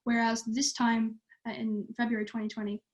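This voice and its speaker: A woman's voice: fairly high pitch, monotone, moderate pace. A teenage girl from Ireland, chatting casually on a livestream and using many fillers. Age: teens. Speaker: youth theatre participant.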